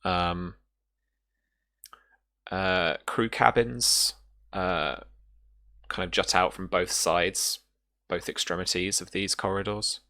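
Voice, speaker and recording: Low, slow, gravelly male game master. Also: fairly even intonation, bright, forceful tone. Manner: halting, pausing to find words. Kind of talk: improvised tabletop game narration.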